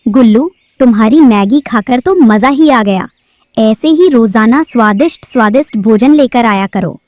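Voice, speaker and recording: A woman's voice: a little high, expressive, moderate pace, a little mellow, no echo, natural voice. A woman voicing a bossy, greedy female crow teacher in a cartoon, with a scolding manner. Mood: pleased. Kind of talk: cartoon voice acting.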